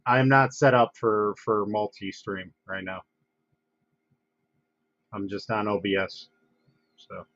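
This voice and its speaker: A man with a lowish voice chats casually to his livestream viewers, stuffed up with a head cold. He wanders through his thoughts with plenty of ums and restarts. The sound is dry and somewhat dull, like a basic home streaming setup. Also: dry recording. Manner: relaxed, halting, many fillers and restarts. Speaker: livestream host.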